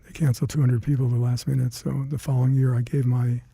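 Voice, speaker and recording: Older man, gravelly and dark, halting recall. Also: mid-range voice, level tone, no room sound. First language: Hungarian. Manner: halting, reflective, many fillers and restarts. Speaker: interviewee, retired scientist. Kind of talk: oral history interview.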